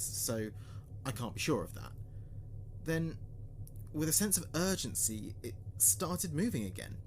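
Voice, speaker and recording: A man playing an earnest, uneasy spaceship communications officer, recording a halting, deliberate mission log in a sci-fi audio drama. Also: mid-range voice, darkish tone, lightly acted. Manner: halting, deliberate, pausing mid-sentence. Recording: clear background noise and ambience.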